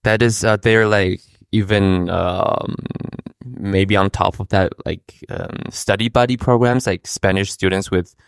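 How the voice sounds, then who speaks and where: A man from Germany asks questions in a friendly, loose interview style. He leans heavily on fillers like "like" and "I mean" and restarts his sentences. His voice is low, and the recording is dry and close. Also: fairly even intonation, a little slow, vocal fry, smooth, dry recording.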